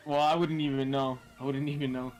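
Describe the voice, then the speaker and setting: California guy, casual chat on a stream, normal pitched voice, music clearly behind. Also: little pitch movement, brisk, a little mellow. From California, United States. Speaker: stream guest. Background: background music.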